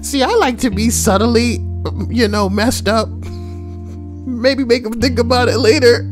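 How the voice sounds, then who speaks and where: A woman's voice: a little high, takes it slowly, long pauses, over music. A woman reading forum posts aloud and adding crude, sweary, joking commentary. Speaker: online narrator and commentator.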